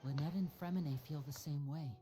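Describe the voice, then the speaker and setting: A man's voice: a little high, fairly even intonation, fairly quick, warm tone, close to an everyday voice. An actor voicing a young male magician speaking respectfully and earnestly to his adoptive parent. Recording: game audio with music behind.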